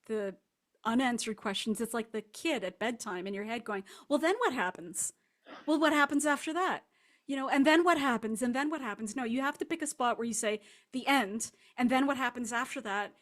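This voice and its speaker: A woman speaking casually as a guest author in an interview, rambling and self-deprecating. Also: a little high. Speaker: guest fiction writer.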